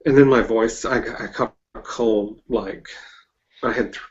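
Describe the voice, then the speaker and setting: Man, American accent, podcast host, mid-range voice, informal halting chat, slightly muffled lo-fi audio. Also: medium pitch. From southern US or Mexico border region (Texas, Oklahoma area). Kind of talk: casual interview chat.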